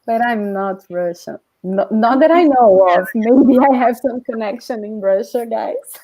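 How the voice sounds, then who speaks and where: Higher-pitched Brazilian woman teaching. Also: unhurried, mellow, muffled recording. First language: Portuguese. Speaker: language teacher. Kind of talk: informal live online lesson.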